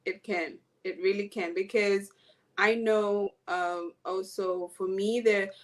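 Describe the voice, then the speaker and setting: A woman from Zambia, a guest in a casual interview, talking in a relaxed, reflective way and repeating words as she thinks. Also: mid-range voice, slow.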